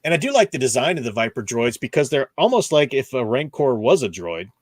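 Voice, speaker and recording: A man's voice: mid-range voice, big pitch swings, a little fast, no echo. Man, mid-range voice, chatty, enthusiastic, casual co-host recapping, dry close recording. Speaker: podcast co-host.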